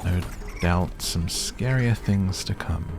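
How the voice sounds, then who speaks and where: Man, very low voice, gravelly fry, gentle ASMR gaming commentary, faint music behind. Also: slow. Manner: gentle, whimsical musing to the listener. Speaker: gaming video host. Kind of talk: relaxed ASMR gameplay commentary.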